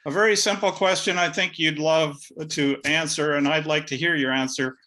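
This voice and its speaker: Polite older man with a high, bright voice. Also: fairly even intonation, moderate pace. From Canada. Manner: polite, friendly questioning. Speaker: audience member asking a question.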